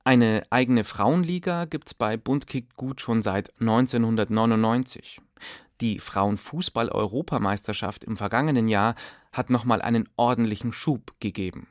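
A man narrating a radio documentary in a measured, clear storytelling manner. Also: medium pitch, no echo, clean studio sound. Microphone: close studio mic.

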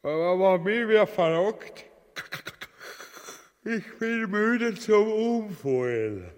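An actor with an Austrian accent playing a pompous, gluttonous, cowardly tyrant in bombastic dialect for a grotesque comic radio drama. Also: male voice, sits high, animated, very slow, exaggerated voice. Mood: grumbling, greedy. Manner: bombastic, drawling, mock-elevated dialect speech. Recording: long hall-like reverb.

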